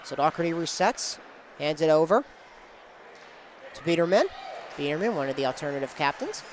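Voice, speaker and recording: A man's voice: a little high, lively intonation, moderate pace, a little hiss or hum. A man working as a play-by-play sportscaster, calling live game action in short, clipped phrases.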